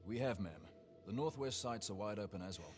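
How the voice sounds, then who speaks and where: A dutiful male mercenary underling, played by a man, low-pitched and deferential. He reports back in a plain, matter-of-fact way over soft music. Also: expressive, a little fast, natural voice. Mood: reporting. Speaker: mercenary digger.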